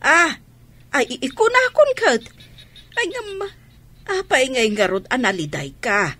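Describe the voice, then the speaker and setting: Excitable doting mother, husky, swooping voice. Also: high voice, measured pace, heavily acted. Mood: excited, relieved. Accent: Ilocano. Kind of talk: Ilocano radio drama acting.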